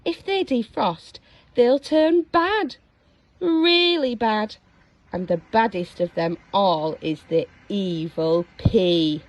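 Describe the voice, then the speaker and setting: A woman reading a storybook aloud to young children, dramatic and expressive, engaging her listeners with questions. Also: medium pitch, takes it slowly, no echo, poor audio. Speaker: storybook reader.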